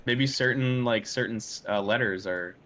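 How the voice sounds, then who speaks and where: A man chatting casually as a guest, relaxed and a little hesitant in his phrasing. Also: mid-range voice, moderate pace, a little hiss or hum. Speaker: interview guest, musician. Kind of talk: casual chat.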